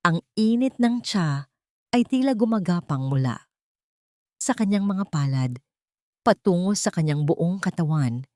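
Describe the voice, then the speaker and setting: Woman narrator, low voice, dry recording, measured, emotive, scripted love story. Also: expressive, dark tone, dry recording.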